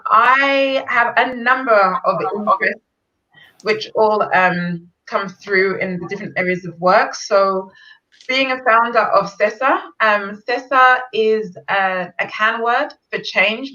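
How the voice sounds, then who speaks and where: Bright-voiced woman on a dull, distant call line. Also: mid-range voice. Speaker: guest storyteller, founder.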